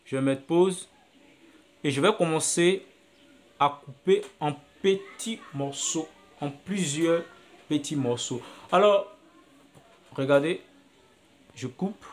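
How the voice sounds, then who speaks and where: A man's voice: on the high side, slow, long pauses, soft background music. A man teaching video editing on a phone app, talking step by step and repeating his actions aloud as he works. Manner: step-by-step, repetitive, thinking aloud. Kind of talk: screen-recorded app tutorial.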